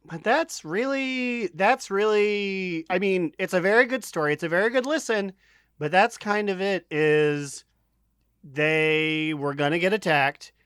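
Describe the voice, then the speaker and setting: A man with a fairly high voice talks casually on a podcast. The sound is dry, without room echo. He retells a story jokingly, tossing in made-up lines of dialogue. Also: measured pace, a bit bright, smooth. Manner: joking, riffs in imagined quotes. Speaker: podcast co-host.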